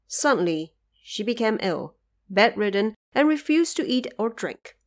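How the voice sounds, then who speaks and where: Female narrator for an audio drama, measured and even delivery. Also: medium pitch. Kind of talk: scripted storytelling narration.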